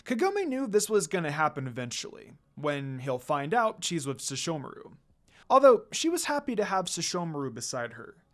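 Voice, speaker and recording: A man narrating a fan fiction story in an expressive reading style. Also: fairly high pitch, normal speed.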